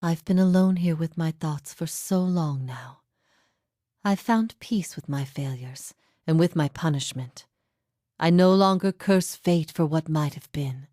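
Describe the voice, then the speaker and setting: A woman voicing a grave, forgiving heretic teacher in a fantasy game, speaking in a solemn, measured, reflective way. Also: sits low, warm tone, no echo, studio quality. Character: grave, forgiving female heretic teacher, long imprisoned, philosophical. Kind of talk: scripted fantasy game dialogue.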